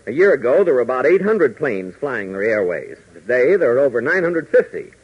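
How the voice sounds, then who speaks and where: A male 1940s radio announcer narrates in a formal, emphatic style. His pitch moves in lively swings and his voice is somewhat rough. The old recording sounds dull. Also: fairly quick.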